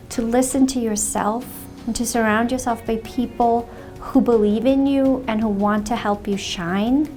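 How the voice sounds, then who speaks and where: A woman from Zimbabwe speaks in a reflective personal testimonial. She talks slowly and thoughtfully, pausing on ums, in a slightly high voice. Soft music plays faintly underneath. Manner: thoughtful, unhurried, with frequent ums. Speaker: state refugee services director.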